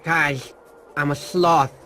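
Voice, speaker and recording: A man's voice: on the high side, fairly even intonation, slow, sharp tone, soft background music. A man voicing a clumsy, reluctant sloth in a cartoon game, whiny, hesitant and theatrical.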